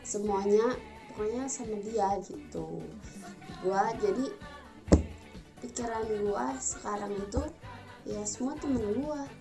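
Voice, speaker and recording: A woman's voice: medium pitch, slow, long pauses. A 21-year-old woman from Bandung with a Sundanese Indonesian accent, talking casually and emphatically to her viewers in slangy speech. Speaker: vlogger. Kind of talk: casual talk to camera. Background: music.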